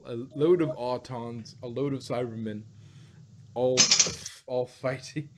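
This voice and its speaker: A man chatting casually about stories in a rambling, halting way, often repeating words as he works out a thought. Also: medium pitch, fairly even intonation. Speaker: podcast host reviewing audio dramas. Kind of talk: casual chat, fan speculation. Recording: noticeable background noise.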